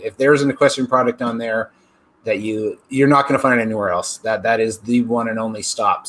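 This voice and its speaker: A man's voice: on the low side, fairly bright tone. A man hosting an interview show, talking effusively and piling on superlatives. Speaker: show host.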